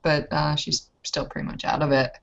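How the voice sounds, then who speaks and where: A woman's voice: deep voice, flat delivery, brisk, vocal fry. A woman playing a tabletop roleplaying game, hesitantly describing her character in casual talk, on a muffled recording. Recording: dull, muffled lo-fi sound.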